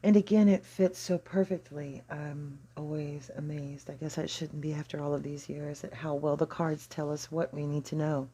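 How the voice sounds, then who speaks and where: An astrology and tarot host with a husky, slightly gravelly voice, giving an explanatory reading in a measured, deliberate way with pauses. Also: mid-range voice, fairly even intonation, warm tone.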